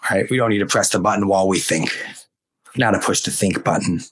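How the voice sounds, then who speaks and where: A man with a fairly low voice, speaking as a podcast host. He rants casually, with an exasperated and sarcastic edge to his delivery. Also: a little fast, a bit bright, slightly rough. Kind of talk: casual opinion rant.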